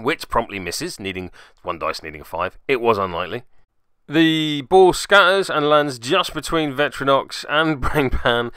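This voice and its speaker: A man's voice: medium pitch, pushed and bright, smooth, dry recording, clean studio sound. A man narrating a casual play-by-play recap of a tabletop game as its host, with wry, self-deprecating asides.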